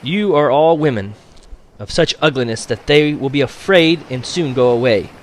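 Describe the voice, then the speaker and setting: A man voicing an urgent, commanding young tribesman who shouts and urges in a storybook reading. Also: medium pitch, moderate pace, slight background noise, pitched above the performer's own voice, natural voice. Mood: scornful. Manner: shouting, urging.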